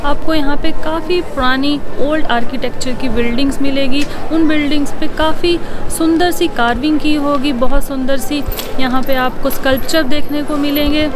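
High-voiced Indian woman giving a city tour. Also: steady tone, normal speed. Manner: chatty, mixing English words into Hindi. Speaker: travel vlogger. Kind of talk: walking tour presenting to camera. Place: outdoors in a city, squares and riverside.

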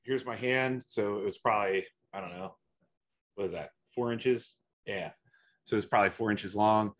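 A man's voice: lowish voice, moderate pace, a bit bright. A man giving an online slide presentation over a video call, talking hesitantly with many fillers and restarts. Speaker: guest presenter.

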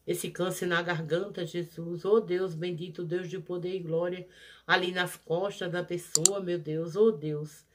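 A prayer leader, leading a fervent healing prayer in a rhythmic, repetitive, pleading cadence, swelling loud on each plea and dropping soft on each invocation. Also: mid-range voice, flat delivery, a little slow. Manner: pleading, rising loud on pleas and falling soft on each "Jesus".

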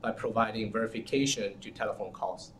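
A man narrating a scripted research presentation in a measured, formal way, as if reading. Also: lowish voice, fairly bright tone, slight background noise. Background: instrumental music.

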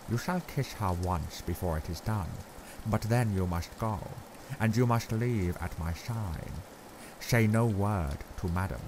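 A man voicing an older, grave and kindly foreign professor who speaks in halting, odd word order. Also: lowish voice, background noise, lightly acted.

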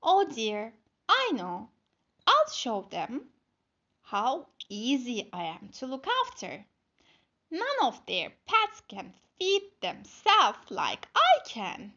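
A woman's voice: a little high, huge pitch swings, slow pace, sharp tone, dull audio, pitched below the performer's own voice, full character voice. A woman voicing a lonely, eager female spider in a storybook, with simple, emphatic, showy delivery. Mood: lonely, hopeful.

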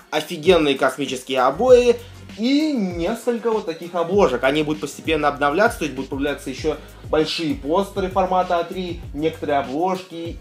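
A young adult man giving casual reaction commentary as a video host, recorded in a room at home. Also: on the high side. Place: small furnished room at home, indoors.

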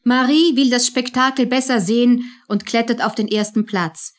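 A woman's voice: on the high side, fairly even intonation, normal speed. A woman narrating a German radio play in a scripted, storytelling style.

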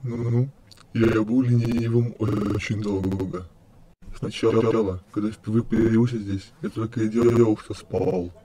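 A man's voice: lowish voice, steady tone, measured pace, dark tone, lightly acted. A man voicing a deranged skeleton destroyer in an amateur comic dub, cheerfully menacing, stuttering and repeating words like a glitch. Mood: brooding. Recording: clear background noise.

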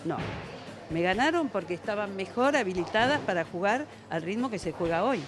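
A woman's voice: mid-range voice, animated, a little fast. A woman in her seventies with an Argentine accent answers interview questions conversationally, in a reflective, explanatory manner. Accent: Argentine Spanish. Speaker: veteran bowler being interviewed. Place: indoor bowling alley. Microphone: handheld interviewer's microphone. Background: music.

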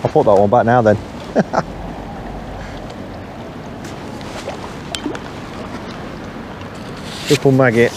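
A middle-aged man from England talks easily while he fishes from a riverbank, relaxed and unhurried. His voice sits at an ordinary middle pitch. A camera set behind him outdoors picks it up along with clear wind and water noise. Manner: relaxed, unhurried, thinking aloud between casts. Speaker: angler filming his own channel. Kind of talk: casual commentary while fishing. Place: riverbank outdoors on a windy day. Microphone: camera mic placed behind him.

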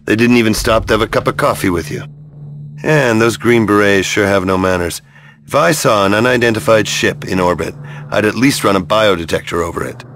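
A man's voice: fairly low pitch, fairly quick, a bit bright, a little hiss or hum. An actor playing a sardonic male action hero in a science fiction video game, wry and sarcastic.

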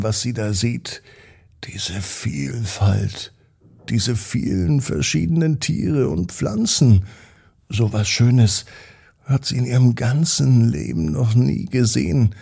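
Slow, gravelly German man telling bedtime stories. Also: mid-range voice. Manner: calm, gentle, addresses children directly. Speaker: bedtime story host. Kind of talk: slow, soothing bedtime story narration.

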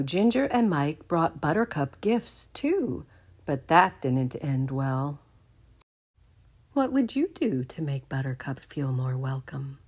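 A woman's voice: sits low, darkish tone. A woman reading a children's storybook aloud expressively.

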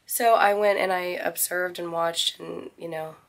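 Hoarse woman vlogging from a ship cabin. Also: fairly even intonation, measured pace. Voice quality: low, gravelly. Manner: rambling, self-correcting. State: hoarse, recovering from lost voice. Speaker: travel vlogger. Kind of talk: casual vlog chat to camera. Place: small cruise ship cabin, indoors. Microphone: phone at arm's length.

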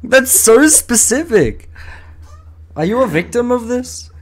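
A man's voice: extremely high pitch, very animated, slow. A man chatting casually on voice chat while playing a game, joking with friends and swearing when he dies. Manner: laid-back, laughs a lot, swears when he dies. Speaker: gamer streaming with friends on voice chat.

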